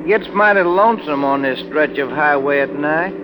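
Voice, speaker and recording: A folksy sidekick from a 1940s radio adventure, acted by a man. He drawls colloquially and grumbles in a fairly high voice. The old recording is clearly noisy. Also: normal speed, lightly acted. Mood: easygoing, tired. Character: folksy, girl-ogling, grumbling detective sidekick called Doc. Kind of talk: 1940s radio drama acting.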